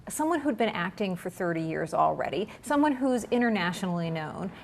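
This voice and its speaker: A woman's voice: medium pitch, a little mellow. A woman with an American accent hosting an interview, conversational and articulate, with a wry streak and long, carefully built questions. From United States. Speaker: interview host.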